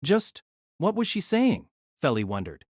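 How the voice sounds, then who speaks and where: A woman's voice: sits low, wildly swooping pitch, unhurried, a little mellow, no room sound, clean studio sound. A woman narrating a scripted, dramatized story as its narrator.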